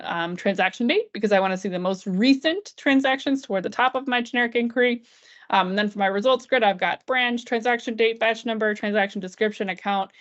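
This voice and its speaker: A woman with a mid-pitched voice leads a software walkthrough on a slightly dull, lo-fi recording. She explains each step aloud as a trainer would, keeping it informal and conversational. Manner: informal, walks through steps aloud. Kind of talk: screen-share software tutorial, explanatory and conversational. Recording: dull, band-limited lo-fi audio.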